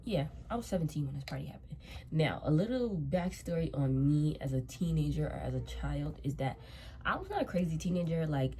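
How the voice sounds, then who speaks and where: A woman's voice: low-pitched, sing-song, brisk, dark tone, slight background noise. A young woman in her twenties with a stuffy nose from a cold, chatting loosely as she tells a story while doing her makeup. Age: 20s. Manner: rambling, repeats herself, loose slangy talk. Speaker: vlogger. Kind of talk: casual storytime chat.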